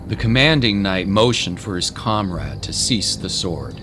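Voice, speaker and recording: A man's voice: sits low, animated, measured pace. A man giving dramatic scripted narration of a story. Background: music.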